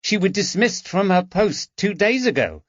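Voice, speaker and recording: Man playing a sharp-tongued housemaid, raised voice, dull dry sound. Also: sits high, big pitch swings, moderate pace, no room sound, pitched above the performer's own voice. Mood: dismissive. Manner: blunt, dismissive. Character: blunt, gossipy woman housemaid. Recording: dull, dry audiobook recording.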